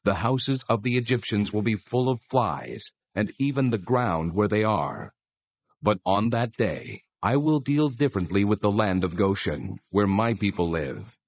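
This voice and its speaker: A man voicing a stern, solemn God who proclaims commands deliberately in a dramatized scripture reading. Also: fairly low pitch, lively intonation, no echo.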